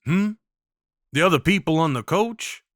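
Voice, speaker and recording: A man's voice: on the high side, animated, unhurried, dry recording, studio quality, pitched above the performer's own voice. A man playing a friendly, courteous Old West cowboy in an audio roleplay, with a folksy drawl and rustic slang. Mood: matter-of-fact warning. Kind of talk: audio roleplay acting, addressing the listener.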